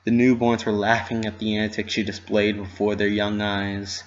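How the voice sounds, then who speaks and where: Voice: low voice, completely flat delivery, moderate pace, muffled recording. A narrator giving a dramatic reading of a story, with scripted storybook delivery.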